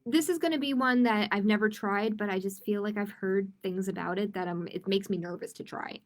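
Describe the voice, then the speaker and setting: A woman hosting a storytime for young children, reading picture books aloud expressively with informal asides to the viewers. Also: medium pitch. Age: adult. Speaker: children's library storytime host. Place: live-streamed online storytime.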